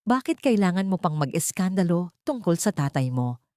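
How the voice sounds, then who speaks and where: Casting: female narrator as domineering elderly matriarch for audio storybook drama, low register, berating and cold. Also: big pitch swings, moderate pace, a little mellow, no echo, clean studio sound. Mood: scolding. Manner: berating, guilt-tripping. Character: scolding, domineering old matriarch, cold and demanding.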